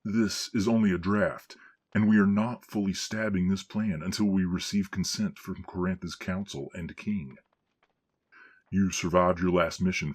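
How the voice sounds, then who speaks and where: A man playing a stern superior who gives orders in a formal, deliberate, authoritative way in an audio drama. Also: deep voice, a little mellow, slightly rough. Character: stern commanding male superior giving mission orders.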